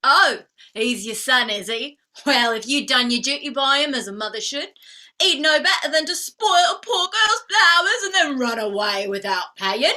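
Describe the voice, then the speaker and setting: A poor young flower girl for a stage play reading. Her voice is high and piercingly bright, swinging widely as she wheedles and wails. She talks in cheeky, wounded, ungrammatical street speech. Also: expressive, normal speed. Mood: indignant, cheeky. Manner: whining, wailing, ungrammatical street talk.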